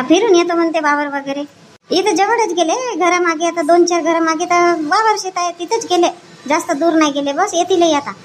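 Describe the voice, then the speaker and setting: A woman voicing a chatty, fussing village woman in an animated family comedy. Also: extremely high pitch, slight background noise.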